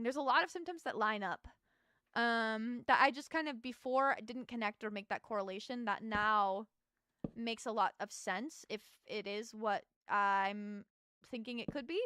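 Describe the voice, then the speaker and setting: Bright, high-voiced woman streamer. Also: dry recording, clean studio sound. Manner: chatty, greets chatters warmly, thinks aloud while building. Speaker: VTuber streamer. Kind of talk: casual livestream chat while building in a game.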